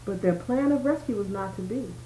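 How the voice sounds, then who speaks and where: Mellow woman reading a bedtime story. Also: mid-range voice, brisk. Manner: dramatic reading with small explanatory asides. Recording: roomy home recording with faint noise.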